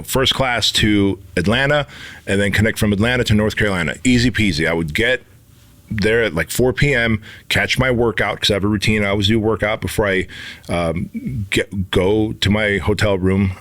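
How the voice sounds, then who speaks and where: A man's voice: on the low side, normal speed, fairly bright tone. A man in his forties from Armenia, co-hosting a podcast and talking emphatically, swearing freely. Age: 40s. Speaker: podcast co-host. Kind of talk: casual motivational talk.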